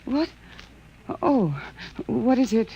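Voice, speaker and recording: Slow-spoken, dreamy Martian wife. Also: female voice, on the high side, very animated, vocal fry, noisy background, heavily acted. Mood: shy, dreamy. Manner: hesitant, wistful, trailing off. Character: dreamy, wistful Martian wife, restless and evasive. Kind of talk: old radio drama acting. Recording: dull, lo-fi old radio recording.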